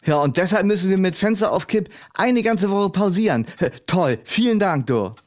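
A man voicing a dim, sulky sidekick in a comedy podcast, high and quacky, grumbling and belittling. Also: lively intonation, normal speed. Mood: teasing, clueless. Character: dim, sulky, dismissive male sidekick with a squawky voice.

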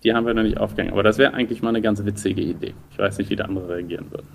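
A man's voice: lowish voice, fairly even intonation, rapid-fire, vocal fry, a little hiss or hum. A man in his thirties from the German Rhineland, answering interview questions conversationally in a thoughtful way, searching for words. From Düsseldorf, Rhineland, Germany. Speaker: interview guest, entrepreneur.

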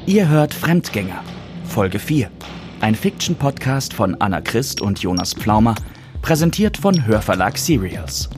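Lively low-voiced male podcast announcer. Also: unhurried. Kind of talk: scripted podcast intro. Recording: loud music under voice.

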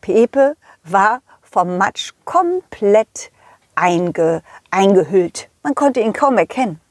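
A woman's voice: mid-range voice, no room sound, voice pushed down. A woman voicing a friendly, eager male dog who narrates a children's audio story expressively, speaking directly to the kids. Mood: concerned, storytelling. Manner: expressive, addresses children directly, halting delivery. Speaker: host and narrator.

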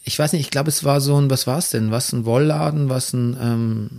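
A man's voice: medium pitch, fairly even intonation, moderate pace, vocal fry, darkish tone. A man from Bavaria with a Bavarian accent, telling stories in casual conversation with frequent restarts. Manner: chatty storyteller, frequent restarts.

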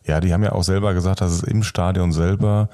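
Mellow, low-pitched man in casual chat. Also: fairly even intonation, fast. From Germany. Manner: colloquial, often ends phrases with a tag question. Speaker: podcast co-host.

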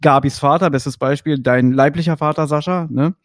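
A man with a fairly high voice hosts a casual interview. The sound is dry, with no room echo. He puts his questions conversationally and keeps checking in with small tag questions. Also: fairly even intonation, moderate pace. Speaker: interview host.

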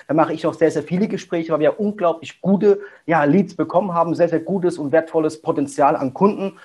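A man's voice: on the high side, darkish tone, breathy edge. A middle-aged man from Germany, a guest entrepreneur talking casually and energetically in an interview, full of business buzzwords.

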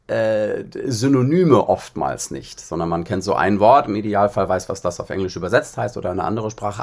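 A man from northern Germany talking conversationally as an interview guest, explaining things in a reflective way. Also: lowish voice. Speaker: interview guest, singer-songwriter.

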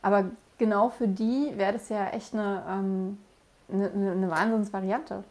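Woman, medium pitch, casual chat, inquisitive, frequent restarts. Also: fairly even intonation, a little slow, a little mellow. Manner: asks questions, stumbles and restarts often. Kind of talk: casual discussion.

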